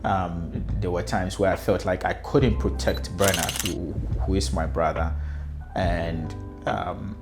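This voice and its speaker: The kind of low-voiced Ghanaian man you'd hear on a personal-story podcast, feeling for his words over a music bed. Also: steady tone, vocal fry. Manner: hesitant, halting with repeated words. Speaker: speaker telling own life story, customer experience consultant.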